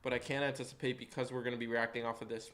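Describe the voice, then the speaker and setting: A man live-streaming day-trading commentary, hoarse and losing his voice, who chats with viewers and walks through charts in a casual way. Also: mid-range voice, little pitch movement, rapid-fire, fairly bright tone. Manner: chatty, greets chat viewers by name.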